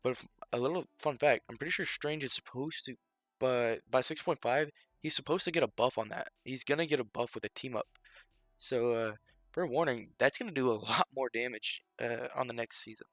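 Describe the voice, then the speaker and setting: A man's voice: medium pitch, brisk, fairly bright tone, no echo, faint music behind. A young man rambling through casual stream commentary, loose and filler-heavy, sounding a bit stuffy from a cold.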